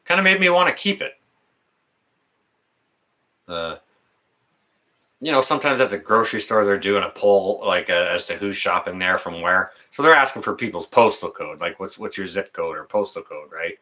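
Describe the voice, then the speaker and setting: Male, 50s, deep but forward and bright voice; folksy, rambling, unscripted livestream chatter with a halting flow. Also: lively intonation. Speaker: livestream host. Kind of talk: casual livestream chat.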